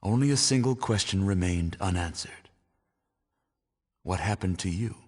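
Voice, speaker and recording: An actor playing a grave, solemn narrator of a post-apocalyptic video game, speaking in a measured, dramatic style. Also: male voice, deep voice, husky. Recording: long cavernous reverb.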